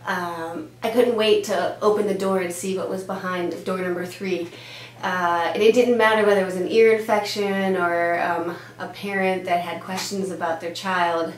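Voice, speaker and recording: A woman's voice: lowish voice, fairly even intonation, normal speed. Woman, 30s, from Denmark, Danish first language, pediatrician, casual chatty answers, echoey room. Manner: chatty, with frequent "uh" and "um" fillers. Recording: echoey room with faint hum.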